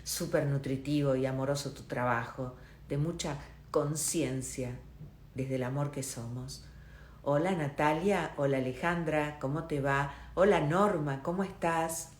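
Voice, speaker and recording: A woman's voice: low voice, roomy sound, a little hiss or hum. A woman hosting a live online interview, chatting and greeting viewers by name before bringing on her guest. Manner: chatty, warm greetings, quick asides. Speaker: host. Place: home in Miami.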